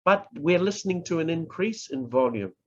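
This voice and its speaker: A man with a New Zealand accent giving a public speaking workshop, talking in a measured, deliberate way and stressing words to demonstrate. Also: a little high, animated. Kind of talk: slow instructional presentation. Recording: dull lo-fi recording.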